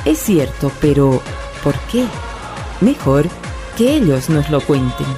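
A woman playing a knowing, ironic storyteller, narrating a radio drama with wry dramatic pauses. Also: low-pitched, big pitch swings, over music, heavily acted. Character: knowing, ironic female storyteller addressing listeners.

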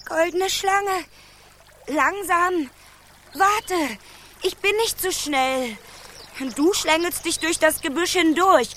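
A plucky teenage princess in a radio fairy tale. Her voice is high and youthful, gentle and trusting, and she takes her time over the words. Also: slow, long pauses, slight background noise, heavily acted. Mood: anxious, pleading. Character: dreamy, plucky fifteen-year-old princess.

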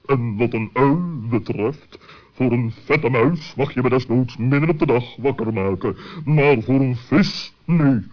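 A man voicing a dignified, fussy owl, deadpan and pompous, in a children's radio play. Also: mid-range voice, a little slow, natural voice. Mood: fussy refusal. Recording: muffled old sixties recording.

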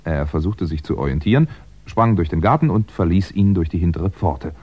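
An actor playing a wry, understated fugitive crime writer in a 1960s German radio play. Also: male voice, deep voice, lively intonation, fairly quick. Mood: wry, recounting. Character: fugitive crime writer, dry, weary, polite man on the run. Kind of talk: 1960s German radio play acting and first-person narration. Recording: dull, noisy 1960s radio recording.

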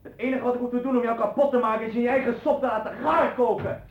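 A man's voice: very high voice, fairly even intonation, quick pace, a little hiss or hum. An actor playing a terse, cool male friend in a 1960s radio drama, speaking in clipped phrases. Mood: hostile. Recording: dull 1960s radio recording.

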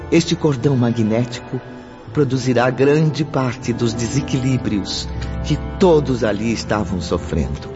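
Solemn male narrator over music. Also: mid-range voice. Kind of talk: scripted radio drama narration. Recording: dull lo-fi audio with music underneath.

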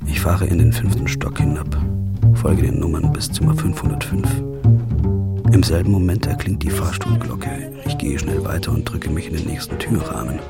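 An actor playing a weary man who narrates his own search for his girlfriend's killer in a radio play, speaking in a low, subdued murmur. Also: normal speed, gravelly. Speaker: first-person narrator and protagonist. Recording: music underneath. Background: loud music.

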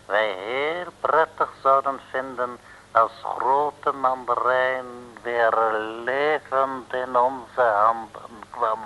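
Menacing henchman, formal broken Dutch, unhurried, bright voice, vintage radio serial. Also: mid-range voice, steady tone. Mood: quietly menacing. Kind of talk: 1960s radio serial acting. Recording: noisy old radio recording.

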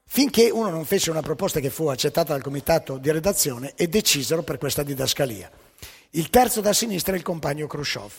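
A man telling satirical anecdotes as a stage monologue, with dramatic pauses and emphatic delivery. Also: fairly high pitch, animated, creaky, husky. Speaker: stage storyteller. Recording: live theatre hall with long reverb.

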